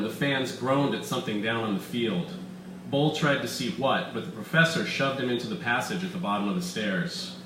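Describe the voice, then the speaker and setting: A man reading his own story aloud with deliberate, dramatic emphasis at a live literary reading in an echoey bar room. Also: lowish voice. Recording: echoey room, distant mic, faint background noise.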